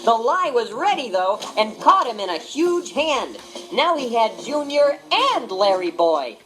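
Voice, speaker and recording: A woman narrating a read-along storybook in an expressive, dramatic way. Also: a little high, measured pace, low-quality recording. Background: background music.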